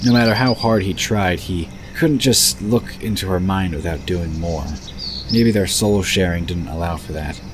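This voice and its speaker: Deep-voiced male fantasy narrator. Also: normal speed. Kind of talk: scripted third-person fantasy narration. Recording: background noise of birds and crickets.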